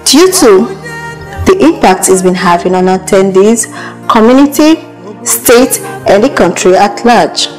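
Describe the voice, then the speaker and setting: A woman's voice: mid-range voice, expressive, a little slow, soft background music, poor audio. A woman reading scripted promotional narration for a documentary.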